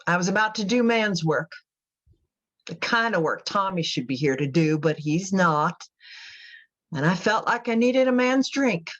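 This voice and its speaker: Radio play role: troubled, pious mother in prison, slow brittle confession, folksy phrasing, slightly dull sound. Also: fairly low pitch, lively intonation, a bit bright. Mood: brooding. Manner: halting, wistful, folksy, brittle. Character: devout, unsettled imprisoned mother recounting her crime. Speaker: actor in a radio drama. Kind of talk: dramatic confessional monologue.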